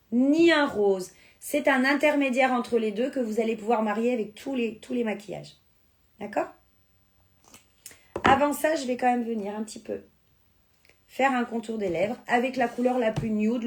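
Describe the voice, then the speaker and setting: A woman's voice: fairly high pitch, normal speed. A woman whose first language is Spanish, chatting casually on a live stream as she sells beauty products and answers viewers' comments. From born in France, Spanish family.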